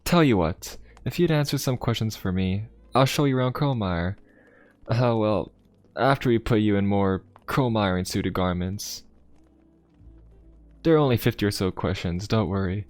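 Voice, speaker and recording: A man playing a courteous, inquisitive young pope of a steampunk fantasy world in an ASMR roleplay, speaking politely, formally and in a gently musing way. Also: on the low side, normal speed, no room sound, natural voice. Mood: reassuring, curious. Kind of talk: ASMR roleplay addressed to the listener. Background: music.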